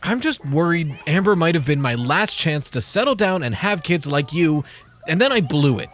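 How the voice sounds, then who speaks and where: A man's voice: a little high, sing-song, moderate pace, fairly bright tone. An actor playing a lonely, self-deprecating male night security guard with an awkward manner in a horror comic dub. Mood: hurt.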